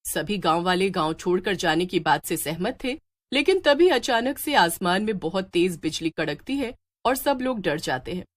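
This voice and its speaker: A woman's voice: lowish voice, very animated, moderate pace. A woman storyteller reading scripted, dramatic narration for a children's tale.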